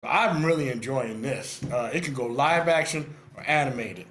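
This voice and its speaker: A man's voice: medium pitch, normal speed, fairly bright tone. A man in his sixties giving casual, opinionated and direct spoken feedback as a script reviewer. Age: 60s.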